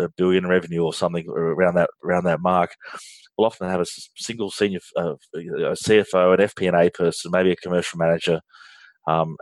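A man's voice: sits low, no room sound, poor audio. An Australian man, a guest in a business interview, explaining with frequent ums and restarts. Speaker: guest, finance outsourcing firm leader.

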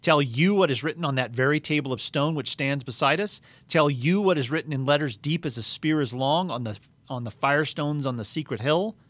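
A man's voice: a little high, a little fast, few pauses, fairly bright tone, no room sound, voice pushed up, lightly acted. A menacing witch queen, as a man puts her on. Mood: menacing, haughty. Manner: haughty and menacing. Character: cold, imperious witch queen demanding blood.

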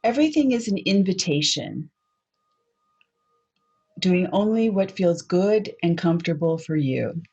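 A woman leading a guided meditation in a soft, unhurried, gently inviting way, on a dull lo-fi recording. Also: lowish voice, animated, slightly rough, faint music behind.

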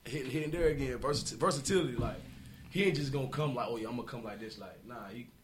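A man, a guest rapper chatting casually in an interview, energetic and repeating himself, laughing often. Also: quick pace, a crowd in the background. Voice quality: deep voice.

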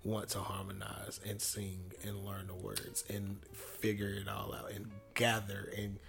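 A young man with a deep voice, a rapper talking casually as a guest in an interview, slangy and quick to laugh. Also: monotone, vocal fry, fairly bright tone, soft background music. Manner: laid-back slangy talk, laughs often, swears freely.

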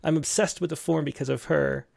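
A man hosting an interview, asking questions in a halting way with many ums. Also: a little high, fairly even intonation, creaky, darkish tone, no echo, clean studio sound. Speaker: interview host. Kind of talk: casual interview.

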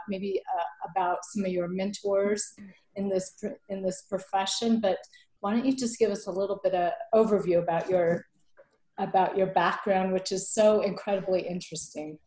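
A woman's voice: medium pitch, reverberant, rough recording. A woman co-hosting a podcast, welcoming the guest and asking her to tell her story, with many fillers. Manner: warm welcoming, hesitant fillers. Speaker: podcast co-host. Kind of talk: interview questions.

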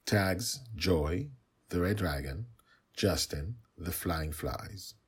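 A male storyteller with a low-pitched, husky voice. He speaks informally to listeners as the host of a story show. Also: expressive, slow.

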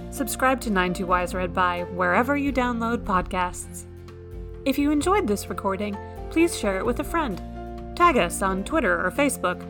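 A woman reading scripted podcast credits as an announcer. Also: medium pitch. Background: music.

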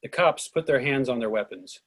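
A man's voice: mid-range voice, lively intonation, moderate pace. A man reading stage directions aloud as scripted narration for a screenplay reading.

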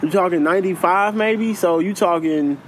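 A man's voice: high voice, normal speed, a little hiss or hum. A man co-hosting a podcast, chatting casually with loose slang and lots of repeating himself. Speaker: podcast co-host. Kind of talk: casual chat.

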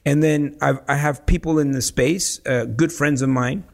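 A man from El Salvador, a real estate investor, guesting on a podcast and talking in a lively, storytelling way with many "you know"s and "right?"s. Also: a little high, normal speed, creaky. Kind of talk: casual podcast conversation.

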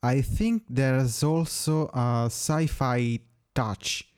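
Italian man, native Italian speaker, musician guest; unhurried and measured, mellow voice. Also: medium pitch. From Italy. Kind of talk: prepared interview answers.

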